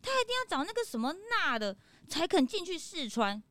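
Casting: actress as chatty kid sidekick, children's audio drama; tiny high voice, bouncy intonation, eager. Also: female voice, very animated, brisk, a bit bright, no echo. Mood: complaining. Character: eager, chatty young sidekick to a pig detective.

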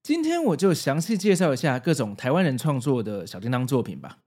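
Very animated Taiwanese Mandarin male podcast host. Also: mid-range voice, brisk, breathy edge. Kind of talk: prepared podcast narration.

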